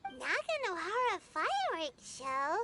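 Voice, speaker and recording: A woman voicing a tiny, excitable floating fairy companion in a video game, squeaky and childlike. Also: measured pace, heavily acted. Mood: curious. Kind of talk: animated video game character dialogue. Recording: loud music behind the voice.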